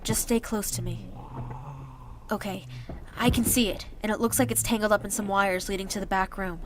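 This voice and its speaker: A woman's voice: mid-range voice, fairly even intonation, moderate pace, noisy background, natural voice. A woman playing a curt, bossy, sarcastic young survivor in a zombie audio drama, with clipped, commanding delivery. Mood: focused, dry.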